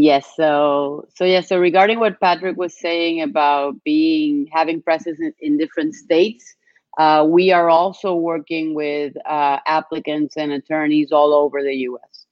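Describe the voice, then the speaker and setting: Woman with flat, even delivery. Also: sits low, no room sound. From Colombia. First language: Spanish. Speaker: webinar co-host, business consultant. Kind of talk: informational webinar presentation. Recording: dull, dry lo-fi sound.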